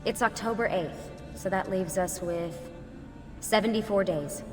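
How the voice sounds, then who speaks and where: An actress playing a level-headed young team leader, earnest and caring, in naturalistic game dialogue. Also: female voice, medium pitch, unhurried, close to an everyday voice. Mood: calm. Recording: reverberant room, faint music behind.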